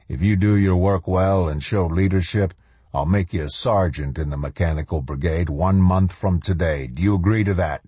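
A male narrator plays a ruthless colonel with a very low, dark voice. He gives out his words with measured, grim authority, recorded close and dry, as in a storybook for adults. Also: breathy edge, dry recording. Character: commanding male army colonel, ruthless, coolly self-assured fanatic.

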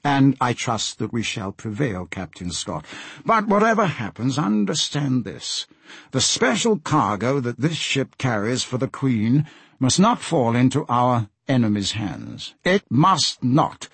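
A man's voice: medium pitch, very animated, measured pace, a bit bright, husky, no room sound, full character voice. A man voicing a tall, intense diplomat in a storybook, formal, urgent and insistent. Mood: grave, insistent. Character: tall, intense, impatient male diplomat on secret mission.

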